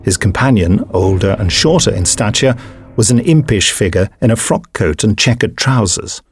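A man's voice: lowish voice, a little mellow, slight background noise. A man reading dramatic scripted fiction as an audiobook narrator.

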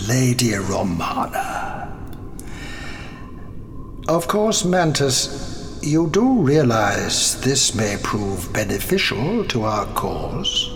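An actor playing a cold, calculating male president with a slow, measured delivery. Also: mid-range voice, very animated, exaggerated voice. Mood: scheming. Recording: music playing under voice.

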